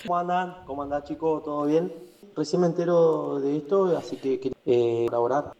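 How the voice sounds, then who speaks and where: A man's voice: on the high side, fairly even intonation, a little fast, mellow. Hesitant man in a muffled voice message. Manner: hesitant, rambling. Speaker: caller.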